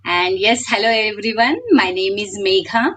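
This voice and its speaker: A woman's voice: mid-range voice, normal speed, a bit bright. Earnest woman guest. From Mumbai, India. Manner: earnest, repeats yes and yeah. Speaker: guest, homemaker. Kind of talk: casual interview talk.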